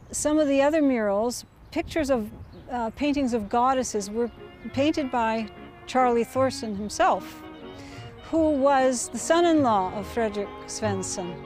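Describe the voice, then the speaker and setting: A woman explaining local history in a measured, informative way, with pauses, outdoors on a street. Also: fairly high pitch, darkish tone, faint music behind. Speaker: interviewee. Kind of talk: casual tour-guide explanation.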